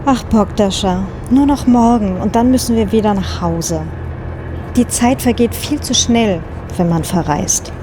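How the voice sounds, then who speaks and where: An actress playing a romantic, affectionate wife on holiday, tender and gently teasing, in an audio drama. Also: female voice, mid-range voice, unhurried, noisy background, lightly acted. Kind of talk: German audio drama dialogue.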